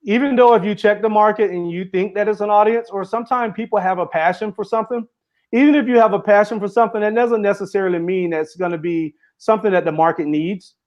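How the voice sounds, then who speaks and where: Man, high voice, earnest, runs sentences together, muffled lo-fi audio. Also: fast talker, darkish tone. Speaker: interview guest, author and speaker. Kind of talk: casual interview conversation.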